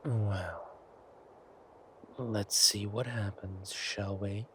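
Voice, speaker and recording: A man playing a villainous king in an audio roleplay, silky and amorous yet quietly furious, with deliberate, mock-polite speech. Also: on the low side, steady tone, slight background noise. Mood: playfully menacing.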